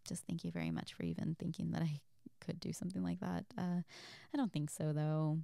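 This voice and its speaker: A woman chatting casually as a host, reading out and answering listener questions in a soft, giggly, rambling and hesitant way. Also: low-pitched, sing-song, fairly quick, creaky, dry recording, studio quality. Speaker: audio creator and host.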